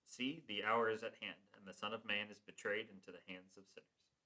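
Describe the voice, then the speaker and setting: A man reading the part of Jesus in a church passion play, slow and grave. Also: on the low side, steady tone, bright, forceful tone, rough recording. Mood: solemn. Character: Jesus, calm solemn male teacher.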